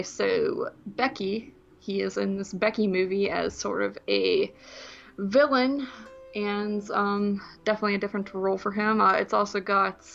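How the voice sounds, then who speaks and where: A woman's voice: mid-range voice, gravelly, fairly bright tone, dull audio, slight background noise. A woman co-hosting a movie podcast in casual, rambling conversation, hedging as she goes.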